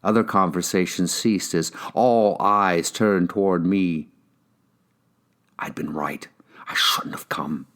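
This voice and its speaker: An American man with a low voice reads a crime novel aloud. He narrates in the first person, as an actor would for an audiobook. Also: steady tone, slow pace, a bit bright.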